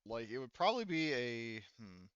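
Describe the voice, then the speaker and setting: A man's voice: mid-range voice, sing-song, moderate pace, a bit bright, smooth, dry recording, highs cut off. A man acting as game master in a casual tabletop game, hesitantly thinking aloud as he works out rulings.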